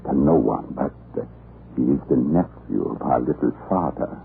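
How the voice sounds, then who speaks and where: An actor playing a cruel, scheming Russian prison island commandant in a radio drama, pompous and insinuating in his deliberate delivery. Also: very deep voice, creaky. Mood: imperious. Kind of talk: 1940s radio drama acting. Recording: muffled, noisy old radio recording.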